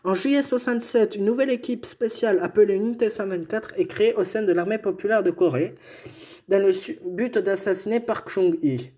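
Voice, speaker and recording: A man's voice: high voice, brisk, dark tone. A man casually reading history notes aloud as co-host, stumbling, correcting himself and joking aside.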